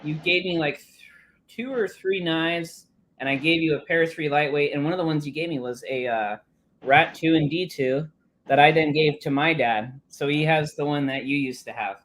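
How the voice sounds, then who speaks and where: A man's voice: a little high, normal speed, a bit bright. A man talking casually as a guest on a live stream, speaking through swollen cheeks after dental surgery. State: swollen cheeks after wisdom teeth removal. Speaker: guest, knife counter clerk.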